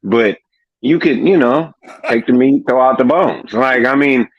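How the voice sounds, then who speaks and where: A man's voice: medium pitch, a little slow, no echo. A man in his early thirties talking casually as a livestream guest, loose and slangy, with lots of "like". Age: 30s. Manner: loose slangy talk full of "like". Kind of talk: casual chat. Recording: dull lo-fi remote audio.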